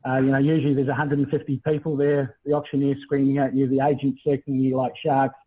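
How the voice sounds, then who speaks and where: A man's voice: mid-range voice, flat delivery, fast talker, slightly rough. A middle-aged Australian man giving a conversational webinar presentation as a property buyers agent over a video call. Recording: thin, phone-like video call audio.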